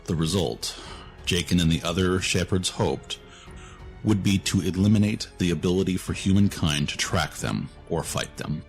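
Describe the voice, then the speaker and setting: Male narrator for scripted fiction: low voice, story reading, faint music bed. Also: moderate pace, creaky.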